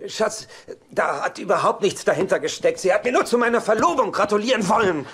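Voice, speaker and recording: An actor playing an evasive, glib engaged playboy in dubbed sitcom dialogue, wry and easily flustered. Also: male voice, sits high, animated, a little fast, a bit bright, slight background noise. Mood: defensive.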